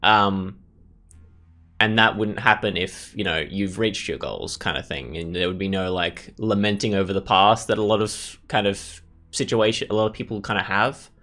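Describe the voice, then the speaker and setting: A man's voice: fairly low pitch, normal speed, bright, forceful tone. A man giving casual let's play commentary, thinking aloud in tentative fragments. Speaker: gaming host. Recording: music playing behind commentary. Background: music.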